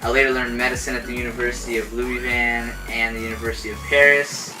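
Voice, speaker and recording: A man's voice: mid-range voice, flat delivery, fast talker, lightly acted. A man playing a hesitant physician guest. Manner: hesitant, many fillers. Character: hesitant male physician guest telling his life. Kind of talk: amateur talk-show skit. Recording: distant mic, music behind.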